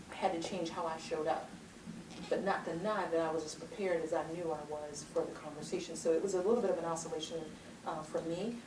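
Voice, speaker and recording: A confident middle-aged woman delivers a motivational keynote with an emphatic, rhythmic cadence, her voice sitting fairly low. She is picked up from a distance in a large, echoey conference hall, with clear background noise. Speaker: keynote speaker. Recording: roomy hall sound, distant mic, noisy.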